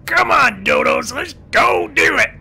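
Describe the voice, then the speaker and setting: A man playing a bossy, impatient small-town Southern boss in comic game roleplay, barking orders in a raspy squawk. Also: very high-pitched, animated, unhurried, sharp tone, raised voice, exaggerated voice. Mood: impatient, shouting.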